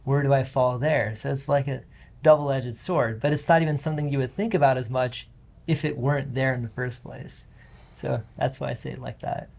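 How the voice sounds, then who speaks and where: A young man with a mid-range voice talks through an idea on a student discussion show. He reasons out loud, casual and unpolished, filling his pauses with small words. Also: mid-range voice, steady tone, vocal fry. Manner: reflective, many "like" fillers. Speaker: student guest.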